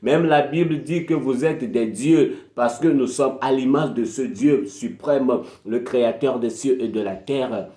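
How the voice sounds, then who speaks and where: A man's voice: mid-range voice, measured pace, few pauses, dark tone, roomy sound. A man giving an emphatic, preachy motivational talk, repeating phrases for effect. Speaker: motivational speaker. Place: indoor room at a desk with a PC.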